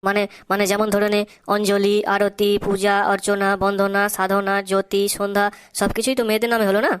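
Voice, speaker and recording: Brief: smooth-voiced prankster schoolboy, woman acting, cartoon, Bengali accent, even tone. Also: medium pitch, a little fast, lightly acted. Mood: cheeky. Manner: jokey, broken English, sing-song. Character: cheeky boy pupil, joking and boastful.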